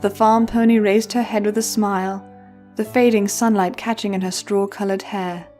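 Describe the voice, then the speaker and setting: A woman's voice: medium pitch, fairly even intonation, moderate pace, dark tone. A woman reading scripted storybook narration as the storyteller, with music playing behind her. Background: background music.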